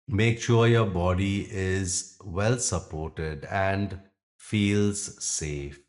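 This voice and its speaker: Very slow, low-voiced male meditation guide. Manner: gentle instructing in short phrases with long pauses. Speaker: yoga nidra teacher.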